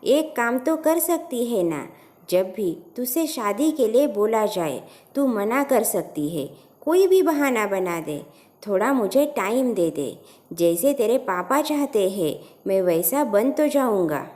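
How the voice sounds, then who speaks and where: Woman acting impatient lovesick young man, mellow. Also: fairly high pitch, big pitch swings, takes it slowly. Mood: pleading. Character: emotional, impatient young man in love, student.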